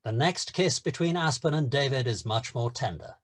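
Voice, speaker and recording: Lively male stage-direction narrator. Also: medium pitch, normal speed. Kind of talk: scripted narration.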